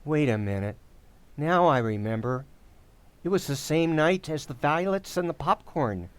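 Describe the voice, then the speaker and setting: A man acting a bumbling, forgetful, excitable husband and father in an old-time radio comedy, with a flustered, stammering delivery. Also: on the high side, unhurried, clean tone, no room sound. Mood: excited, flustered. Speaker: amateur actor.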